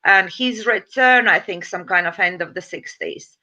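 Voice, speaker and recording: A woman from Latvia speaks English as a second language, with a clear Latvian accent and frequent pauses and fillers. Her voice is bright and forceful. The sound is dull and lo-fi, like a video call. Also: medium pitch. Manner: halting non-native English with pauses and fillers. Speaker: guest. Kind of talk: online talk show interview.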